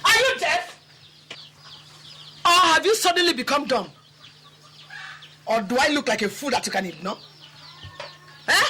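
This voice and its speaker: A woman playing a shrill, accusing village woman. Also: lively intonation, quick pace, background noise. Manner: shouting, accusing, confrontational. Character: quarrelsome village woman accusing her neighbor.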